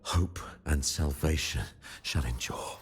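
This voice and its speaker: An actor playing a fanatical, grandiose priest-like villain in a video game, declaiming solemnly in a gravelly voice. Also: male voice, extremely low pitch, slow pace, a little hiss or hum. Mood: solemn, anguished. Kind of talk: theatrical video game voice acting.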